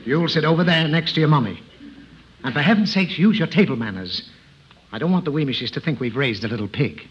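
A man's voice: a little high, animated, fairly quick, natural voice. An actor playing an exasperated, fussy, scolding father in a 1950s radio comedy. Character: exasperated, scolding father of a naughty little girl. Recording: dull, noisy old radio recording.

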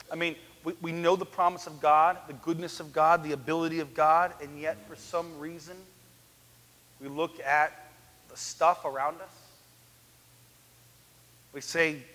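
A man in his thirties preaching an animated sermon on a church stage, swinging from loud to hushed. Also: fairly high pitch. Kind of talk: lively sermon. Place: church sanctuary, large, indoors. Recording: echoey church hall.

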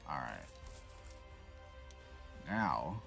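A man with a deep, gravelly voice talks through a shooter game in a loose, casual way. His pitch swings widely as he reacts, often swearing. Faint game music plays underneath. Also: big pitch swings, very slow. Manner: swears freely, reacts loudly to in-game events. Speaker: gameplay commentator. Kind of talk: casual gameplay commentary.

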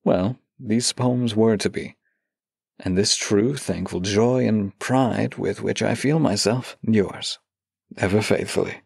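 A man acting a devoted romantic partner in an intimate audio drama, with a gravelly, husky voice, speaking heartfelt words close to the mic. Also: lowish voice, slow, no room sound, exaggerated voice. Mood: ardent, earnest. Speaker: voice actor reading love letters. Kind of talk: intimate audio drama, slow heartfelt letter reading and banter.

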